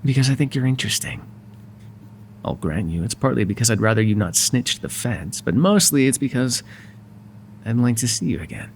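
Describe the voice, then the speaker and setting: Man acting a wry mobster with animated pitch. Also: fairly quick, a little hiss or hum, natural voice. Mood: soft, apologetic. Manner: sardonic and conversational, swinging from exasperated to soft. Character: wry, courteous, exasperated male mafia boss, charming. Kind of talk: ASMR roleplay voice acting.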